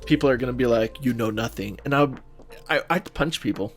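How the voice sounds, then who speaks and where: A man's voice: medium pitch, fairly quick, faint music behind. A man co-hosting a podcast, talking casually like a fan, informal and a little sweary. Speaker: podcast co-host. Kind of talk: casual chat about movies.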